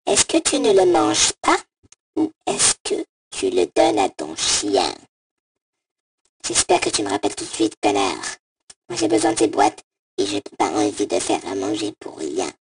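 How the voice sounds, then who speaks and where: Woman putting on a bossy nagging auntie, extremely low modulated voice, wildly swinging pitch, crude, comic voicemail. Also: huge pitch swings, unhurried, vocal fry, full character voice. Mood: scolding. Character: bossy, scolding auntie leaving an angry voicemail. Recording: distant, noisy phone app recording.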